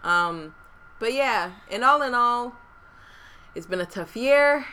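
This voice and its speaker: A woman's voice: fairly high pitch, expressive, slow pace, pushed and bright, slight background noise. A woman co-hosting a casual podcast about real estate investing, talking frankly with lots of "like" fillers into a desktop microphone. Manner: frank, chatty, many "like" fillers. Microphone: desktop USB microphone.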